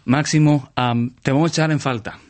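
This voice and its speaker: A male radio interviewer with a medium-pitched voice. He talks in a conversational style, addressing his guest with open affection and compliments. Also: fairly even intonation, brisk, darkish tone.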